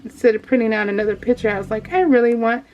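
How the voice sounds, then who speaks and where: A woman's voice: fairly high pitch, fairly even intonation, fast talker. A woman hosting a casual craft vlog, chatting off the cuff in a rambling, gushing way and often interrupting herself. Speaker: craft video host. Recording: dull lo-fi home recording, faint noise.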